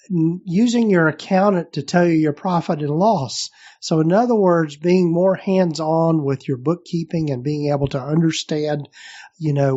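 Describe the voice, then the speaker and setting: A man with a Southern US accent hosting a podcast and recapping the episode in a relaxed way, with many fillers and restarts. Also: fairly high pitch, lo-fi sound. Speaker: podcast host.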